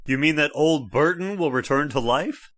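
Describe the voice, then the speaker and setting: A man's voice: on the high side, animated, moderate pace, a bit bright. A man playing a breezy, doubting new owner of an old house in a radio drama. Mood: skeptical. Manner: breezy, doubting, casual slang.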